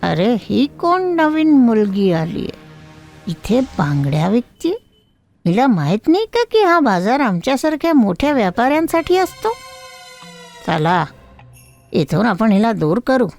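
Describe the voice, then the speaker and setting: Bossy bullying bazaar merchant for a cartoon fable, a woman acting him; high-pitched, blustering, over music. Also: very animated, unhurried, creaky, darkish tone, exaggerated voice. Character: bullying male market traders, loud and threatening.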